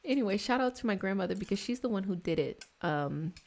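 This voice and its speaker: A woman's voice: medium pitch, expressive, fast, a little mellow. An American woman chatting casually on a livestream, explaining and reflecting in an affectionate way with her listeners. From United States, DMV area around Washington DC. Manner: affectionate, addresses listeners as y'all and babies. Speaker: live stream host and spiritual healing practitioner.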